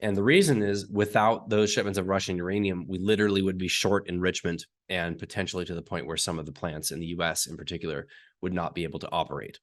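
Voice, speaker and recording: A man with a hoarse, raspy voice from being sick, explaining technical detail step by step as an interview guest and often clearing his throat. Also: low-pitched, fairly even intonation, fast talker. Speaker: uranium market analyst guest.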